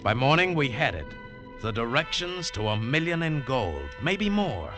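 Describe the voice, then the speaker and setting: A man's voice: mid-range voice, big pitch swings, moderate pace, close to an everyday voice. A man playing a tough, wary American adventurer who narrates his treasure hunt in clipped, hardboiled 1940s radio style. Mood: suspenseful. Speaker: lead actor and narrator. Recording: old 1940s radio broadcast, music behind.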